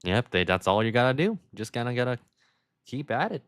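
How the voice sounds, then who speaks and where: A man's voice: medium pitch, big pitch swings, fairly quick, dry recording, studio quality. A man giving casual, conversational play-by-play commentary on a card game, often speculating aloud with questions. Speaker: match commentator.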